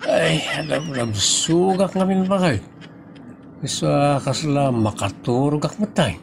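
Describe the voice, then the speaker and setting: A man with an Ilocano Filipino accent acting a sleepy, sheepish, good-natured husband in a comedy audio drama, protesting in drawn-out exclamations. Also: a little high, creaky, slight background noise.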